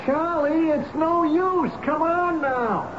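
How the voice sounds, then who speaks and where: Radio play: stern police sergeant barking orders, high strained pitch, dull broadcast sound. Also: male voice, slow, background noise. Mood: commanding. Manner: barking, impatient, commanding. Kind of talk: radio drama acting. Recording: muffled old radio broadcast, echoey, music behind.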